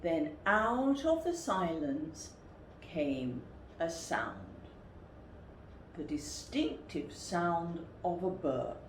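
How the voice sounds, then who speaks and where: A woman reading a picture book to children as a storyteller, with deliberate, dramatic emphasis. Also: fairly low pitch, sing-song. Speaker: storyteller, teacher. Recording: roomy echo, faint background noise.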